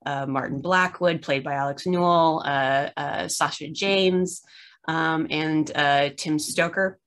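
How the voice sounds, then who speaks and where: Low-voiced woman chatting as a rambling host. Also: fairly even intonation, slow, a bit bright. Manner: rambling, self-deprecating humour. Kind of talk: casual chat, unscripted podcast review. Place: room at home, video call.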